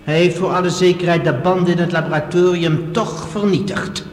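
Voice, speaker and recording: A man's voice: high voice, fairly even intonation, brisk, natural voice. A man acting in a radio play as a defiant, threatening professor, theatrical and mockingly courteous. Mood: menacing, commanding. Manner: theatrical, taunting, mock-courteous. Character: defiant, threatening professor holding archive tapes hostage. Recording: echoey reverberant hall, faint hum.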